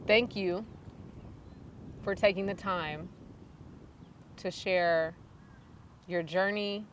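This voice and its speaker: A woman's voice: on the low side, steady tone, drawn-out words, slight background noise. A woman interviewing a student, asking open questions and affirming and rephrasing her answers. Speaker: interviewer, coach.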